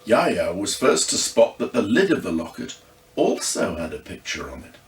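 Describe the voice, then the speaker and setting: An English man reading his own children's ghost story as expressive, scripted narration. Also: fairly low pitch, moderate pace. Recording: roomy echo, distant microphone.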